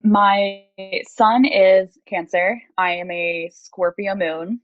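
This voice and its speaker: A woman's voice: mid-range voice, slow, dry recording. A young American woman chatting casually as a podcast guest over a phone video call, in a chatty, self-deprecating way. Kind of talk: casual chat. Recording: dull, lo-fi video-call audio.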